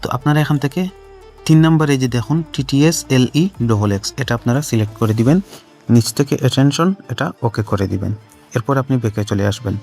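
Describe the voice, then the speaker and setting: A man hosts a step-by-step tech tutorial at a quick pace. He sits at an average male pitch and repeats instructions directly to his viewers, with music playing behind him. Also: darkish tone. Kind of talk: scripted-sounding how-to narration addressed to viewers. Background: background music.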